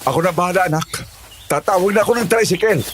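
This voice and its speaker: A man's voice: high-pitched, quick pace, background noise, close to an everyday voice. A man playing a worried, conciliatory older father in a horror audio drama. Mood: worried, placating.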